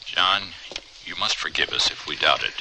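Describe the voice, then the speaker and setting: An actor playing an earnest, persuasive colleague in a radio drama, urgent and theatrical. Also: male voice, a bass voice, lively intonation, normal speed, gravelly, noisy background, exaggerated voice. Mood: awed. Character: earnest, persuasive male colleague of a troubled scientist. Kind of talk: American radio drama acting.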